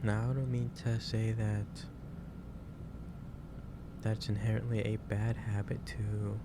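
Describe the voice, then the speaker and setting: A man playing a gentle, concerned office boss in an ASMR roleplay, speaking haltingly and repeating his phrases. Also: fairly low pitch, little pitch movement, heavy background noise. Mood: earnest concern. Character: gentle, concerned male office boss lecturing an overworked employee. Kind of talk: improvised ASMR roleplay addressed to the listener.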